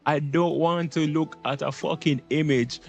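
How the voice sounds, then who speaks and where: Guy streaming a game, kinda high voice, grumbly and sweary, game music behind him. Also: steady tone, moderate pace, gravelly, darkish tone. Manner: slangy, profane, grumbling. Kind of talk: casual live gameplay commentary.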